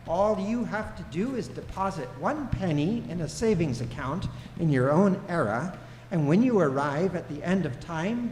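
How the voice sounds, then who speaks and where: A droll guidebook narrator, played by a man reads comic radio-play narration in a fairly high, animated voice. His measured delivery rings through an echoey hall with faint noise. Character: droll male guidebook narrator, dryly matter-of-fact.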